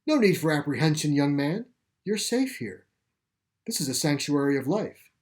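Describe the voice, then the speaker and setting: A man voicing a genial middle-aged male caretaker in a storybook, courteous, unhurried and quaintly formal. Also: on the high side, sing-song, a little mellow. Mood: reassuring.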